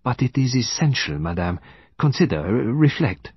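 A husky, very animated older detective, acted by a male audiobook reader. He is courteous, probing and sly, choosing each formal, foreign-phrased word with deliberate care. The sound is close and dry. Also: mid-range voice, no room sound, highs cut off, pitched above the performer's own voice. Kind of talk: audiobook character voice.

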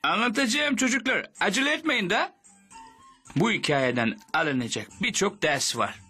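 A man's voice: high-pitched, husky, exaggerated voice. An actor voicing a jolly older fisherman, telling children a moral tale in a cartoon. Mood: jovial. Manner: animated, unhurried storyteller's delivery. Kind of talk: animated cartoon storytelling to children. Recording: music behind the voice. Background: music.

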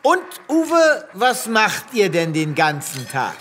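Pompous, animated space vet. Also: male voice, high-pitched, slow, background noise, full character voice. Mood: officious, patronising. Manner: pompous, formal, theatrical. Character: pompous male space veterinarian, officious and easily flustered. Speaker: lead actor in an audio drama. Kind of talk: comic sci-fi radio play acting.

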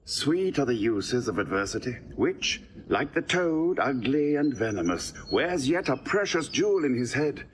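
An actor playing a banished duke speaking to his companions in the woods, reflective and measured, in classical stage style. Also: medium pitch, big pitch swings, slight background noise. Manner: reflective, measured speech with slowed phrases. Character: banished older duke, philosophical and content. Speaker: exiled duke.

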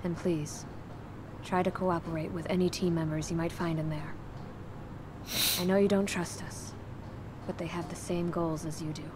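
A woman's voice: fairly low pitch, steady tone, moderate pace, long pauses, noisy background, close to an everyday voice. An actress playing a composed female operative briefing an agent in a game cutscene. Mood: earnest.

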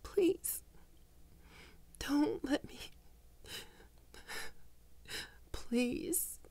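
A woman playing a guilt-ridden, tender young ghoul best friend in an intimate ASMR roleplay, speaking softly and haltingly with emotion. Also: sits high, lively intonation, heavily acted. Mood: anguished, pleading. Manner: soft, hesitant, emotional, halting.

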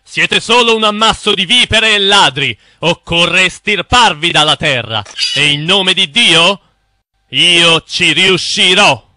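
A man's voice: high-pitched, takes it slowly, bright, forceful tone, no room sound, heavily acted. A man voicing a defiant, fiery president denouncing bankers in a declamatory cartoon style. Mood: righteous fury. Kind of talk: cartoon historical acting.

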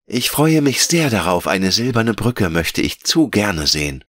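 Man putting on an eager, higher-pitched little girl. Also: very animated, measured pace, a bit bright, no echo, studio quality, full character voice. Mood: delighted. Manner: eager, naive, lilting questions. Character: curious, delighted, innocent little girl. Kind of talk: fairy-tale audiobook character.

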